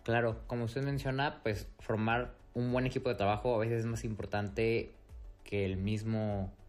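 Polite male podcast host, lowish voice, formal tone, faint music behind. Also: steady tone, normal speed. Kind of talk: interview hosting.

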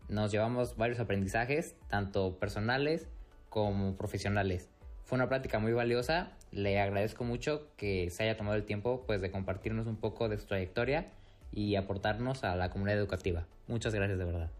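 A man's voice: lowish voice, a little fast, faint music behind. A man hosting an interview, polite and formal, agreeing with his guest. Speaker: podcast host.

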